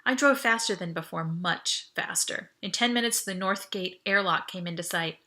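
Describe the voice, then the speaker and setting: A woman reading a first-person story aloud as an expressive audiobook narrator. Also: fairly low pitch, moderate pace. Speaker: audiobook reader.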